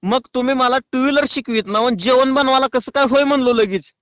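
Smooth-voiced cheeky man, rural Maharashtrian accent. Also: extremely high pitch, fast, a bit bright, no echo, muffled recording. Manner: cheeky, persistent, pleading. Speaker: prank caller. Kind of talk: comic prank phone call.

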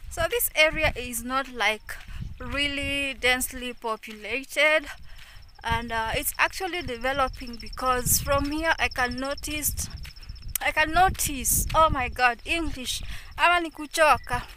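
A woman's voice: high-pitched, measured pace, pushed and bright, slight background noise, low-quality recording. A middle-aged Kenyan woman vlogging as she walks along a rural road, chatting to her viewers as "guys", recorded on a phone held at arm's length. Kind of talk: walking vlog chat. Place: rural roadside outdoors.

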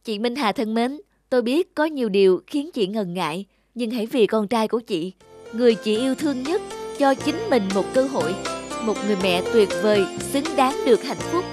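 A man's voice: very high-pitched, lively intonation, a little fast, darkish tone. A suave cartoon host of a dating show. He presents in a formal, showy manner and coaxes persuasively. Music plays behind his voice. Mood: coaxing.

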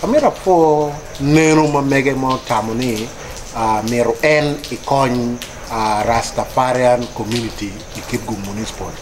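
Halting Ugandan man, noisy outdoor recording. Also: mid-range voice. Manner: hesitant, halting. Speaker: local youth chairperson. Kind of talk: interview statement to camera. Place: outdoors before a painted wall. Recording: clear outdoor ambient noise.

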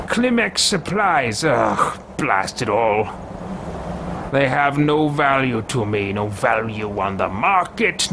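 A man's voice: fairly high pitch, full character voice. A man in his thirties voicing a haughty, scheming adventurer who talks in a theatrical, archaic and pompous way and mutters to himself while playing at home. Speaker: let's-play host. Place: home room with shelves, indoors. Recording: noisy home desk recording.

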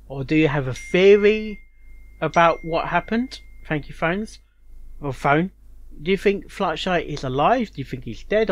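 A man with a slightly high voice gives casual, rambling commentary as a reaction host. He speaks haltingly, often repeating and restarting phrases. The sound is very dry, with no room echo. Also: a bit bright.

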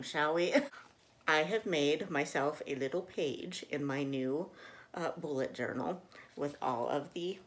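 Low-voiced, unhurried woman vlogger. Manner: cheerful, chuckles often. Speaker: host of a book channel and read-along co-host. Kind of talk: casual chatty vlog to camera. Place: small living room indoors.

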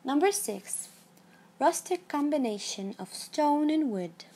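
A woman giving scripted narration of a numbered list in a measured, even read-aloud delivery. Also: high voice.

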